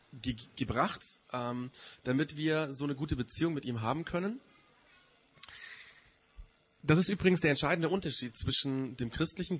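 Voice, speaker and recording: Husky man preaching, slightly stuffed with a cold. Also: on the high side, fairly bright tone. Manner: explanatory, often rephrasing and repeating key points. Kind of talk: informal sermon to a church community.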